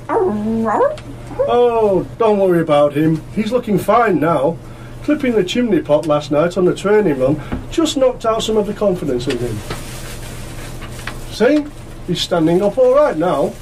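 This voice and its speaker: An actor playing a kindly, gentle man talking reassuringly to animals in an amateur radio play. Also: high voice. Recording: noisy live amateur radio broadcast recording.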